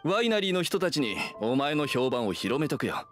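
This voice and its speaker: Animated, anxious warehouse manager. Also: male voice, fairly high pitch, normal speed, faint music behind. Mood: earnest. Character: working winery warehouse manager, gruff, anxious, plain-spoken. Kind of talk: anime game voice acting.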